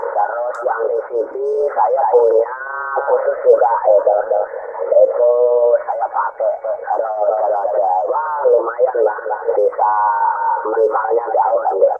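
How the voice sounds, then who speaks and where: A man's voice: lowish voice, steady tone, takes it slowly, a little hiss or hum. A man chatting casually as a radio collector, heard over a CB radio transmission. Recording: muffled narrowband CB radio, music behind.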